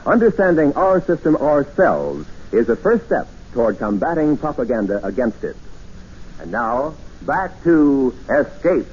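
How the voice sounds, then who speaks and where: A dull, faintly hissy old broadcast of a male announcer. He reads scripted copy in 1950s radio style, his medium-pitched voice rising and falling with strong emphasis. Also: big pitch swings, measured pace, a little mellow.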